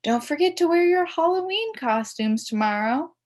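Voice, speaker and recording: A woman voicing a school secretary who makes drawn-out, emphatic loudspeaker announcements in a crackling voice for a children's storybook. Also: very high-pitched, animated, pitched above the performer's own voice. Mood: bright announcing. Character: Halloween-loving female school secretary making loudspeaker announcements.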